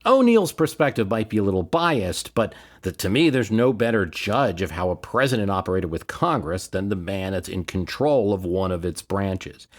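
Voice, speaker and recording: A man's voice: sits low, lively intonation, moderate pace, hardly any pauses. A man hosting a history podcast, talking through political history in a conversational, emphatic way with rhetorical questions.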